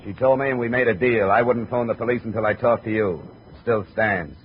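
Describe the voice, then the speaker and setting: A man's voice: fairly low pitch, brisk, lightly acted. Wry, tough detective played by a man, noisy old radio. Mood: firm, sardonic. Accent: American. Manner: sardonic, probing. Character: wry, skeptical, tough male private investigator. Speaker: lead detective of the series. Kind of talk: 1950s hardboiled radio drama acting. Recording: dull, noisy 1950s radio recording.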